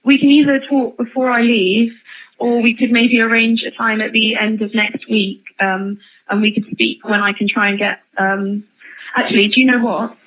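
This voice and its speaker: A woman's voice: on the high side, flat delivery, normal speed. A woman chatting casually and a little tentatively as an interviewer on a thin, tinny phone call. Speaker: media interviewer calling an inmate. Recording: tinny, band-limited phone line.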